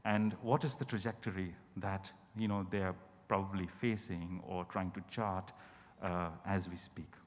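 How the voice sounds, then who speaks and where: A man with a fairly low voice questions panelists at a literary event. He is polite and wordy, feeling for his phrasing as he speaks. The room gives a long, echoing reverb. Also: fairly even intonation, normal speed. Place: large indoor event hall at a hotel.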